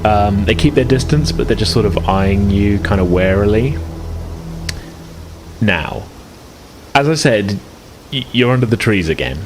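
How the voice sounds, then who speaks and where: Low-voiced man narrating a tabletop game. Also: lively intonation, faint music behind. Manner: measured, filler-heavy narration with soft laughs. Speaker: game master.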